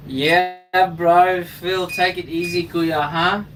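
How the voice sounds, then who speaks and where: A man from Germany chats to viewers from a crane cab, drawling along at a slow pace in a mid-range voice. He keeps up easygoing, joking banter as he works. The mic sits away from him, with steady machine noise clearly heard behind. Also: high voice, far from the mic. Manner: laid-back, joking banter. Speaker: crane operator. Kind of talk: live-streamed casual chat while working.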